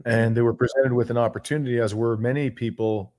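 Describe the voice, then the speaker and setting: A Canadian man in his sixties telling his background as an interview guest, articulate and measured, pausing often mid-sentence. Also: mid-range voice, flat delivery, a little mellow. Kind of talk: reflective autobiographical interview talk.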